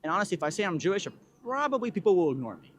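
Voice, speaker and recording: A man's voice: sits high, very animated, very fast, fairly bright tone, slight background noise. A man from Florida, a student answering a street interview earnestly.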